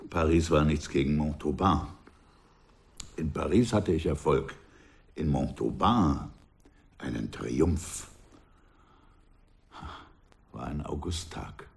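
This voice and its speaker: An older man playing a former revolutionary in a radio drama, recalling his past in a measured, wistful way that often drops to a hush. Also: very deep voice, gravelly, exaggerated voice. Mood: reflective. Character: aging male ex-revolutionary, reflective and proud. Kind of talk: radio drama acting, reflective narration.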